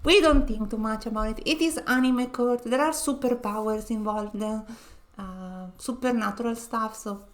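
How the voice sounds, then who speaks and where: A woman's voice: on the high side, unhurried. Nasal woman streamer, chatty. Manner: chatty, laughs often between phrases. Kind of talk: casual let's-play commentary.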